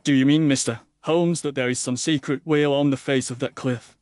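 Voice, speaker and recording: An earnest, troubled gentleman acted by a male reader with a smooth, clear voice at a middling pitch. He answers formally and emphatically, in a dry audiobook recording. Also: steady tone, fairly quick, no echo, lightly acted. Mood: amazed. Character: Sir Alastair, earnest, troubled gentleman under questioning. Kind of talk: audiobook character dialogue.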